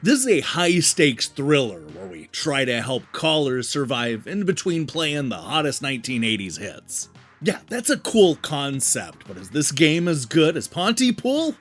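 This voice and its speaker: A man's voice: on the high side, big pitch swings, measured pace. A man reading a scripted video game review as narration, with an emphatic, polished presenter's delivery. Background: background music.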